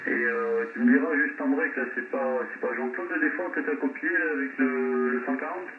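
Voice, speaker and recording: A man chatting casually as an amateur radio operator over a radio transmission, speaking in halting, relaxed phrases. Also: a little high. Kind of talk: casual radio contact chat. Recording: noisy, narrow-band, echoey distant radio link.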